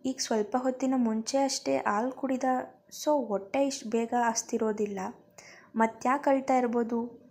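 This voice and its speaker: A woman voicing a fond, flustered young father who mutters quickly and fretfully while trying to calm his baby. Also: fairly high pitch, natural voice. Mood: puzzled.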